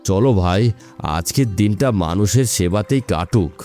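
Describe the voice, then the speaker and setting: A man playing an earnest, seasoned village boatman, moralizing in an animated story. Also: on the low side, moderate pace, soft background music, natural voice. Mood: earnest, reflective.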